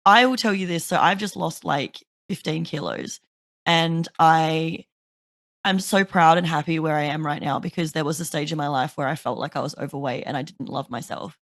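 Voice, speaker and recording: Woman host, deeper voice, chatty and sweary, dry sound. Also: normal speed, dry recording. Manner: chatty, swears freely, animated storytelling. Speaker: podcast host. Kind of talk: casual chat.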